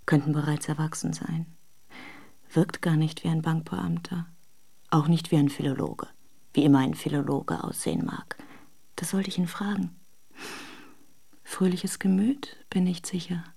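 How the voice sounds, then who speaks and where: Slow-spoken, guarded married woman. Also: sits low, fairly even intonation, vocal fry, mellow, husky, dry recording, exaggerated voice. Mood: pensive, murmuring. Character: reserved, curious married Russian woman, hesitant and wary. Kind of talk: radio drama acting in intimate dialogue and monologue.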